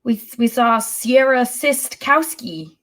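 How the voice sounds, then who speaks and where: A woman's voice: on the high side, expressive, slow pace, a bit bright. A woman co-hosting a soccer podcast, recapping a match in a wry, informal way. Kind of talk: casual match recap chat.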